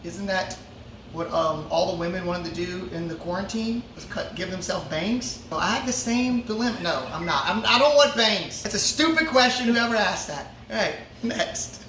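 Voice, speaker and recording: A man, a musician, answering fan questions in a relaxed, joking way with lots of fillers. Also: high voice, moderate pace. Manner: laid-back, joking, many fillers. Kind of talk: casual Q&A chat. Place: brewery before a show. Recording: roomy echo, from a distance, ambient noise.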